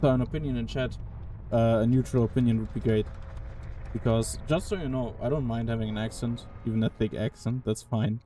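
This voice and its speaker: Young male game streamer, mid-pitched and mellow, chatty and casual. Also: fairly even intonation, a little fast, a little hiss or hum. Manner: puzzled, chatty, talks to chat. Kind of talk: casual live-stream commentary while playing.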